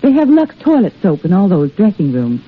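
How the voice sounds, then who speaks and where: A woman's voice: medium pitch, very animated, brisk, slightly rough. An actress playing a knowing young woman confiding gossip in a 1930s radio advertisement skit. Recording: tinny old radio recording.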